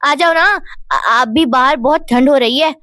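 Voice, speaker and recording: A woman's voice: sits high, normal speed, sharp tone, no room sound, clean studio sound. A woman voicing a stubborn, pleading young wife who always feels cold, in cartoon moral-story dialogue. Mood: complaining, coaxing.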